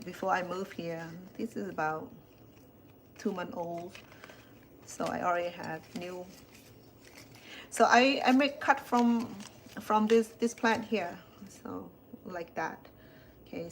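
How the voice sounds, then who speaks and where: Cambodian lady in her 50s chatting at home, lowish voice, lots of restarts, bit of hiss in the background. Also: vocal fry. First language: Khmer. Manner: chatty, repeats words as she goes. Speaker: chef and home cook. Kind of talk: casual home vlog, showing plants. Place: indoors at home.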